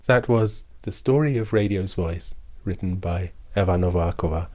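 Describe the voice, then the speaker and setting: A man's voice: low voice, normal speed. A man presenting a radio series in a scripted broadcast style.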